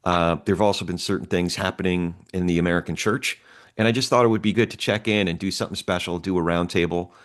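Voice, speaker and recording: A male podcast host leads a casual roundtable. His voice is very deep. He talks in a relaxed, halting way, often pausing with fillers and restarting his sentences.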